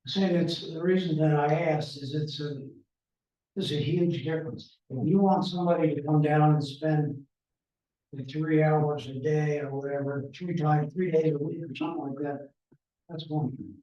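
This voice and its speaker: A man's voice: fairly high pitch, steady tone, moderate pace, warm tone. A middle-aged man at a town meeting, explaining loosely and hesitantly with many restarts. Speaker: candidate for town administrator role. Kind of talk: informal meeting talk. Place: meeting room. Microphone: room mic from a distance. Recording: echoey, distant, dull room recording.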